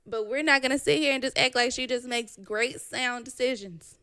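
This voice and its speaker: Sassy young woman with a bright voice. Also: high voice, normal speed, smooth, no echo, clean studio sound. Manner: blunt, sassy, swears freely. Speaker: podcast guest. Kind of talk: casual chat.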